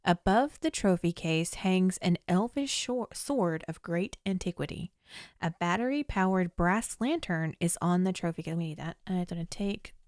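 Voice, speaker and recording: A young woman streaming a text adventure, chatting as she reads the game's room descriptions aloud in a measured storytelling way. Her voice is slightly hoarse, as if she is losing it. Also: fairly low pitch, a little mellow, dry recording, studio quality. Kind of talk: casual game commentary, reading game text aloud.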